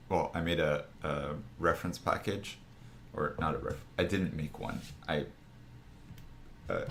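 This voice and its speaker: A man with a raspy, groggy morning voice, casually rambling through a drawing lesson on a live stream, with many restarts. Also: rumbling low, slight background noise. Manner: rambling, full of restarts and fillers. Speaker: art streamer teaching figure design.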